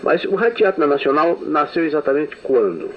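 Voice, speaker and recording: A man's voice: on the high side, lively intonation, brisk. A man interviewing on the radio, asking courteous, searching questions and repeating words as he gets them out. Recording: tinny archive recording with faint hiss.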